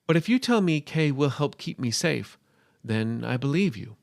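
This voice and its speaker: A man's voice: medium pitch, very animated, normal speed, gravelly, dry recording, clean studio sound, pitched above the performer's own voice. A man voicing a curious, stubborn young girl who asks insistent questions, as a storybook character. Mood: confused, trusting. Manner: inquisitive, insistent.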